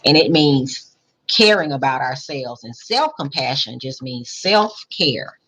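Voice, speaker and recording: A woman reads a picture book aloud for children in a low, husky voice. Her pitch moves around in a lively, expressive way. She sounds like a principal at storytime, stopping to explain and to ask questions. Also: poor audio. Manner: pauses to explain and question listeners. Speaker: school principal reading to children.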